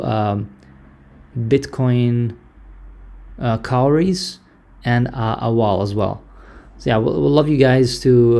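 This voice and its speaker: A man's voice: medium pitch, mellow. A man hosting a live online audio discussion, speaking casually with many fillers and restarts.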